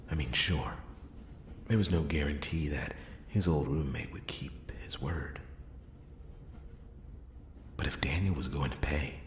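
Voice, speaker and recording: A man voicing a brooding noir detective in a hushed ASMR roleplay, narrating a murder mystery in a breathy near-whisper. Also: a bass voice. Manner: slow, deliberate, hushed storytelling. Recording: roomy echo, clear background noise.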